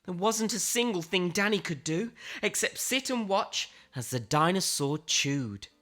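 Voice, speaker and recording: A woman reading a children's picture book aloud in a theatrical way, with dramatic emphasis and suspenseful pauses. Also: on the low side, expressive, fairly bright tone. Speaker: storybook reader.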